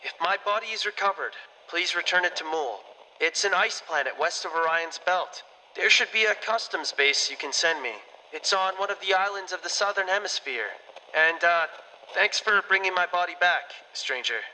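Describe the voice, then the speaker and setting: A man playing a polite, earnest second-in-command, measured and courteous, in an audio drama monologue. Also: high voice, lively intonation, pushed and bright, long reverb tail, a little hiss or hum, exaggerated voice, rough recording.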